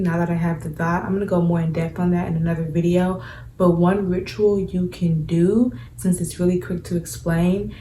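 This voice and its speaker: A young woman in her twenties talks through spiritual advice in an earnest, chatty way, with plenty of ums and likes. Her voice is low and mellow. It sounds roomy and a little distant from the mic, with faint background noise. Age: 20s. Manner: earnest, conversational, uses many fillers. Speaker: spiritual vlogger. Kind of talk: casual advice vlog.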